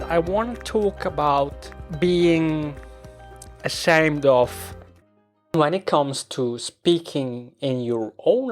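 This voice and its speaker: A man with a Swiss German accent, a native Swiss German speaker, hosting a podcast in a slow, reflective, self-deprecating monologue. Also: a little high. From Switzerland. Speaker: podcast host.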